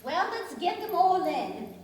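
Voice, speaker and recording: A woman playing an excitable young cousin in a staged radio play. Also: very high-pitched, a little slow, heavily acted. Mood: wry. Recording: very echoey, distant mics, faint noise.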